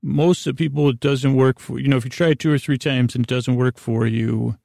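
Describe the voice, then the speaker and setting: Gravelly man, rambling gently. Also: medium pitch, steady tone, dry recording, studio quality. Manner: halting, digressive, full of trailing asides and repeats; gentle, soothing, reassuring. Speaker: sleep podcast host. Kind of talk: rambling, meandering bedtime monologue.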